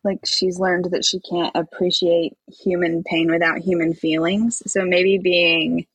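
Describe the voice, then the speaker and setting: A woman's voice: on the low side, little pitch movement, a little slow, breathy edge, no echo, rough recording. A woman co-hosting a podcast, talking in a casual, conversational way. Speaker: podcast co-host. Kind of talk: casual chat.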